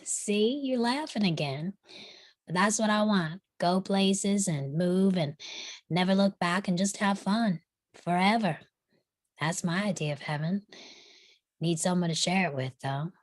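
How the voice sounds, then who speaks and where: Bold flirtatious frontier woman, low close voice actress. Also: unhurried, no room sound, close to an everyday voice. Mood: playful, candid. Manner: teasing, self-assured. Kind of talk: live table read acting of a western screenplay.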